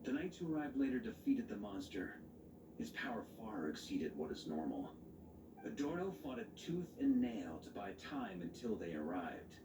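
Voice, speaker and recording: A man voicing a sober storyteller who narrates in a measured way for a video game. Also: on the low side, big pitch swings. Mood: solemn. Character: sober male storyteller recounting a knight's battle. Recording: roomy, distant, noisy game capture.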